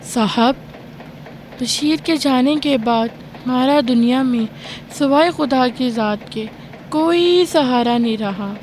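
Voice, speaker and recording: A woman's voice: high voice, slow, a little mellow, slight background noise. A woman playing a poor, weary widowed mother in a radio drama, earnest and plaintive. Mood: pleading. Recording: music behind the voice.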